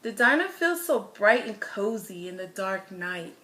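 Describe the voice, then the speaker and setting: A woman reading a children's picture book aloud as a storyteller, with expressive, deliberate phrasing and stressed words. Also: medium pitch, slight background noise.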